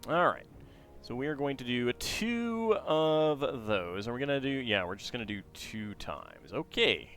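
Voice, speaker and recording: A man's voice: medium pitch, very animated, unhurried, a bit bright, soft background music. Chatty man streaming a Lego build. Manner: chatty, reads facts aloud, then talks to chat. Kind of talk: casual livestream chat while building, reading aloud.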